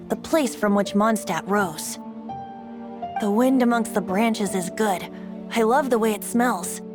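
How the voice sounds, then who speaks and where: A woman voicing a playful, secretive young male bard in a video game cutscene, talking in a lilting, teasing way. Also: fairly high pitch. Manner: lilting, teasing, then soft and solemn. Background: game soundtrack music.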